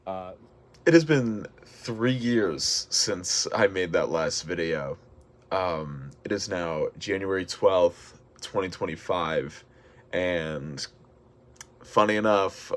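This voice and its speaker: Young american guy, really low deep voice, just chatting casually for a vlog. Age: early 20s. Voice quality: deep speaking voice. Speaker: vlogger and amateur musical theater performer. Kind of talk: casual vlog talking to camera.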